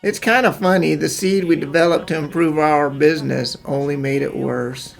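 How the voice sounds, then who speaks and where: A man's voice: fairly high pitch, fairly even intonation, normal speed, gravelly, faint music behind. A man playing a meek, defeated farmer with folksy rural phrasing and a resigned manner in a fan-dubbed cartoon. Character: meek, defeated young male farmer, self-declared coward. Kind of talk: fan-dub cartoon acting.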